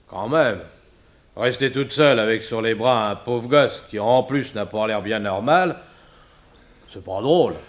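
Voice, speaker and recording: Soft-hearted, folksy local aide, acted by a man. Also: on the low side, measured pace, natural voice. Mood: pitying. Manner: colloquial, sympathetic. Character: soft-hearted, deferential male local helper of magistrate. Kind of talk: 1960s French radio drama acting.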